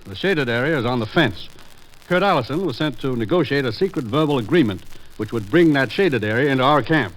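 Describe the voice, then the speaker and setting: An actor playing a grave, urgent commissioner, earnest and authoritative, in 1950s radio drama style. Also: male voice, mid-range voice, lively intonation, fairly quick, close to an everyday voice, poor audio. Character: grave, urgent male commissioner briefing his agent. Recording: old radio recording, faint noise.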